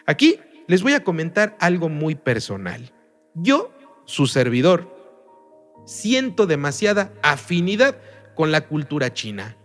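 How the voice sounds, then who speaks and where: A man's voice: fairly high pitch, big pitch swings, unhurried, soft background music. A man from Mexico, teaching an online religious lesson in an emphatic way, full of rhetorical questions.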